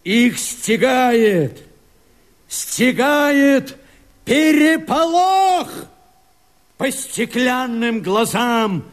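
An actor playing a proud, battle-hardened and anxious Cossack, declaiming verse vehemently with heavy theatrical stresses in a radio drama. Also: male voice, very high-pitched, big pitch swings, extremely slow, exaggerated voice. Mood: foreboding, alarmed.

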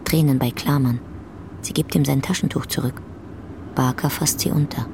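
A narrator with a creaky voice reads stage directions for a radio drama in clipped, scripted phrases. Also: medium pitch, fairly even intonation, normal speed, background noise.

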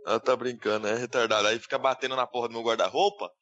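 A man's voice: mid-range voice, fairly even intonation, fast, fairly bright tone, lo-fi sound. A man co-hosting a casual podcast, blunt and crude, swearing freely as he talks. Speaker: podcast co-host.

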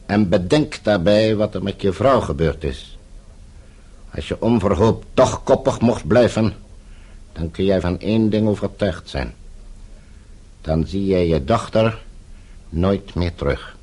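An actor playing a smug, menacing villain in a radio play, with a husky voice and a suave, coolly threatening manner. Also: male voice, deep voice, animated, a little slow, long pauses, warm tone, full character voice. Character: menacing, smug male foreign embassy villain.

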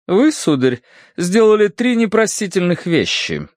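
A man acts out a stern, proper woman in a children's space adventure. The voice is raised and very animated in pitch, formal and severe in its delivery. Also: a little slow, no echo, studio quality.

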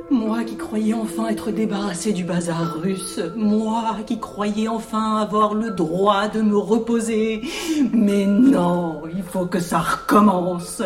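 An actress playing a world-weary, babying mistress of the house in a theatrical drama, cooing and exclamatory. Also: female voice, sits high, reverberant. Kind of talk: French theatrical drama acting.

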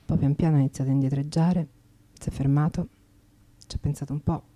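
An actress playing a weary, frank Roman streetwalker, confiding in a subdued, plainspoken dramatic monologue with a Roman accent. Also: female voice, deep voice, steady tone, quick pace, warm tone. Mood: sad, restrained. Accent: Roman Italian.

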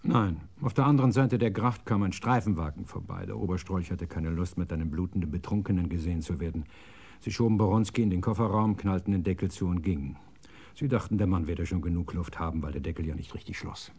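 An actor playing a self-assured police sergeant in a radio crime drama, recounting a case in measured, orderly fashion. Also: male voice, low-pitched, warm tone, husky. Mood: matter-of-fact.

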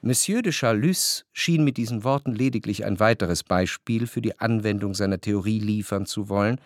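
A man narrating a literary radio drama in the first person, in a measured, reflective manner. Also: on the low side, dry recording, studio quality.

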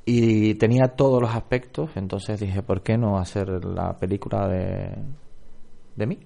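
A man's voice: lowish voice, steady tone, normal speed, gravelly, warm tone. A man, a film director answering radio interview questions in an earnest, emphatic way. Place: radio studio.

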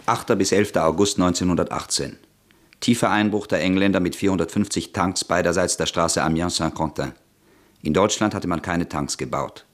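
A man narrating a historical radio play in an even, documentary tone. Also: deep voice.

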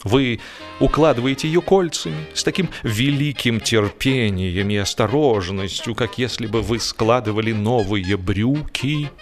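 A male audiobook narrator with a mid-pitched voice reads comic literary prose. His delivery is expressive and measured, and he places his pauses deliberately. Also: faint music behind. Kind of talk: scripted humorous literary narration.

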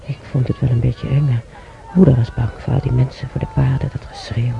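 An actor playing an elderly, weary man in a radio play, musing to himself haltingly with sighs and mutters in a gravelly voice. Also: medium pitch, level tone. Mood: wistful, weary. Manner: halting, muttering, drifting into whispers. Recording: dull recording with faint noise.

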